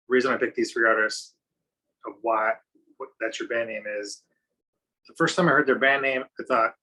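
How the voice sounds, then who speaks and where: A man talks loosely on a podcast panel, wandering through his notes. His tone is bright and forward, with a husky edge. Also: mid-range voice, rough recording. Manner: rambling, loses his place, chuckles. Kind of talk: casual chat.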